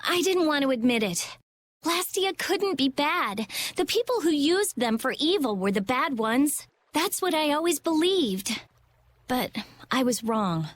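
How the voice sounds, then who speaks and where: Intense, clever teenage mage in a game, high animated voice. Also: female voice, a little slow, dry recording. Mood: regretful. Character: fiery, intense teenage girl mage, brainy and stubborn. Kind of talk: anime-style video game dialogue.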